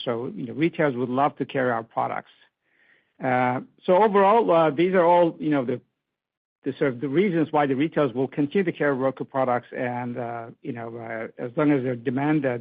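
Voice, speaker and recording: A man speaking as a business executive answering on an earnings call, in hesitant, filler-heavy phrasing. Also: medium pitch. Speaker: company device business executive. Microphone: conference call line. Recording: tinny phone-like conference line.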